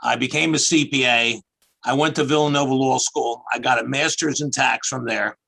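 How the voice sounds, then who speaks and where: Philly guy in his 60s, gravelly husky voice, rambly chatty, on a video call. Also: medium pitch, fairly even intonation, moderate pace, pushed and bright, low-quality recording. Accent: Philadelphia. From Philadelphia area. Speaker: guest, tax accountant. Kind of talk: casual interview over video call.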